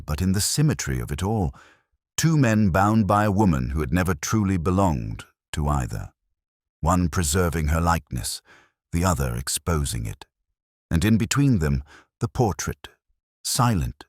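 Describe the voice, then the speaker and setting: A man plays a brooding male detective narrating a radio mystery. His voice is extremely low, yet it rises and falls expressively. The delivery is literary and reflective, in a dry, close-sounding recording. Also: no room sound, heavily acted. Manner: brooding, literary, measured storytelling. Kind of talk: scripted first-person mystery narration in radio drama manner.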